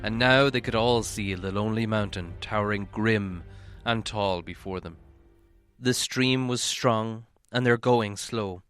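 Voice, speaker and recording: Smooth-voiced male storybook narrator. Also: lowish voice, measured pace, fairly bright tone, faint music behind. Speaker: audiobook reader.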